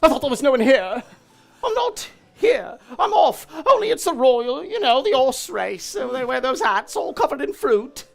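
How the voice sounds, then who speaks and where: Shrill, flustered housekeeper, high and jumpy, put on by a man. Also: sing-song, normal speed, vocal fry, soft background music, heavily acted. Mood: flustered, blunt.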